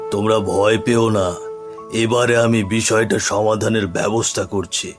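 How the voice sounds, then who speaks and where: A man voicing a resolute village headman in a cartoon, reassuring his people with measured authority. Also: fairly low pitch, little pitch movement, over music, lightly acted. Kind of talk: cartoon voice acting.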